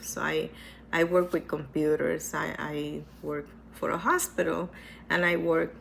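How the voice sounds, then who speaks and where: Woman, low and gravelly, stuffy with a cold; unhurried, earnest personal vlog, hesitant delivery. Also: a little hiss or hum, low-quality recording. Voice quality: hesitant, with many restarts and repetitions. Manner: earnest, reflective, speaks slowly. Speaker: vlogger sharing her cancer experience. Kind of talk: casual personal talk to camera.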